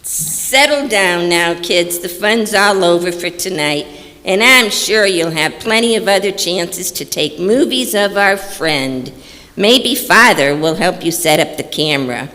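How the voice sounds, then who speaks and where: Woman as slow-talking, unflappable radio mom. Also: fairly low pitch, expressive, sharp tone. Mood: placid, chiding. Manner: unflappable, folksy. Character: placid, homely mother of an American family. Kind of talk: old-time radio acting on stage. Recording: echoey hall stage recording.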